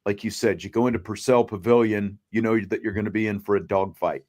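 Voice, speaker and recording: A man's voice: on the low side, fairly even intonation, brisk, darkish tone. A man hosting a sports talk show, speaking off the cuff in a wordy way that repeats and rephrases his points. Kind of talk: unscripted sports discussion.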